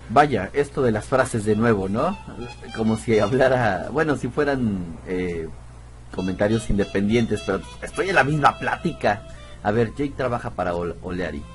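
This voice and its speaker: A man's voice: fairly low pitch, lively intonation, moderate pace, soft background music. A man giving casual gameplay commentary, thinking aloud and muttering to himself. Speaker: gamer, video host.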